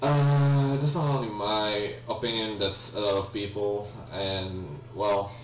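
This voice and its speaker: A man's voice: on the low side, fairly even intonation, takes it slowly. A young man with a Polish accent, a native Polish speaker, giving hesitant casual commentary on a game he is playing. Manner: hesitant, many ums and restarts, apologetic. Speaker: let's play gamer. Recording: echoey room, distant mic, faint hum.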